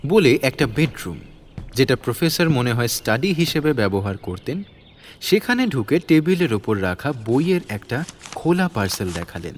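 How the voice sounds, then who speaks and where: A man's voice: mid-range voice, very animated, moderate pace, slight background noise. A man narrating a scripted detective story as its narrator.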